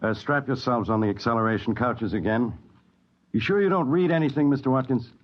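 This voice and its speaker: An actor playing a courteous, authoritative spaceship captain in a mid-century radio drama, with a measured manner. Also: male voice, medium pitch, lightly acted. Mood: thoughtful, courteous. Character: steady, courteous, commanding male spaceship captain. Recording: dull vintage radio broadcast recording.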